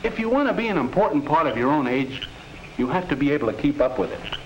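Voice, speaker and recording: A patient, middle-aged American dad from a 1950s classroom film. His delivery is earnest and instructive, with a very lively melody. The sound is muffled, with faint hiss. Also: a little high, quick pace. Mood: thoughtful. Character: earnest, patient father advising his teenage children. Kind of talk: 1950s educational film acting.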